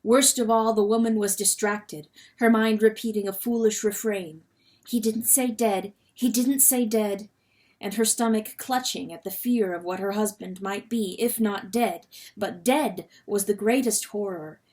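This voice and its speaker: A woman reader gives a dramatic storybook narration at a medium pitch. Her delivery is expressive and suspenseful. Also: moderate pace, few pauses. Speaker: audiobook reader.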